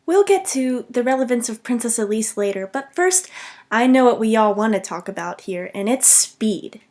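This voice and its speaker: A woman's voice: a little high, lively intonation, moderate pace, a little mellow. A young woman narrating a scripted, humorous video game commentary in a self-deprecating, mock-dramatic way.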